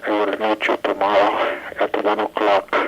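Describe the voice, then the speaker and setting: A man playing a secretive physics professor in a 1980s audio drama, speaking in a measured, formal and guarded way over the telephone. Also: on the low side, completely flat delivery, background noise, natural voice.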